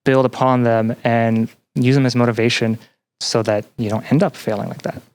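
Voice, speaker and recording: Earnest young man, medium-pitched voice. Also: little pitch movement, normal speed, darkish tone, clean tone. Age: young adult. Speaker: podcast guest, student and teaching assistant. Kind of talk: conversational interview answers.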